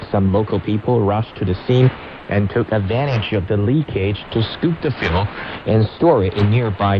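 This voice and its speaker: A man reading scripted radio news as an anchor, heard over shortwave radio. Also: lowish voice. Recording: noisy, dull shortwave radio reception.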